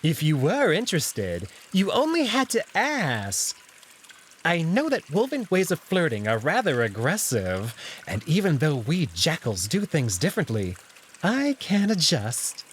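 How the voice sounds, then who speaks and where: Man voicing a smug jackal, swooping theatrical pitch. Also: wildly swooping pitch, a little slow, slight background noise. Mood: taunting, flirtatious. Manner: arch, mockingly polite, theatrical. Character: smug, flirtatious, taunting male jackal.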